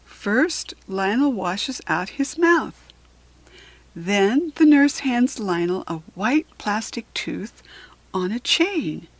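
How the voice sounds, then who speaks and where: An American woman reading a children's picture book aloud in an expressive, deliberate, teacherly way. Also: mid-range voice, no room sound.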